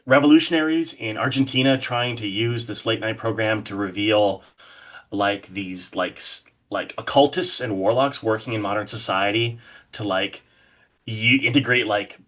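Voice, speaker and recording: A man's voice: lowish voice, a bit bright. A man talking casually and enthusiastically as a guest in an interview, with an effusive way of putting things. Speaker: podcast guest, horror artist.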